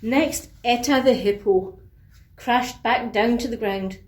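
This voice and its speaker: A woman narrating a children's bedtime story in an expressive, deliberate way, with asides to young listeners. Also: a little high. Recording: echoey, reverberant room.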